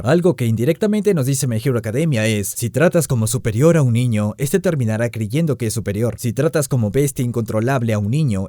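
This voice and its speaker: Guy doing anime opinion commentary, super quick, mellow voice, really dry close sound. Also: mid-range voice, dry recording. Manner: expressive, slangy, with exclamations. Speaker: anime review video host. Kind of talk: scripted opinion commentary with casual asides.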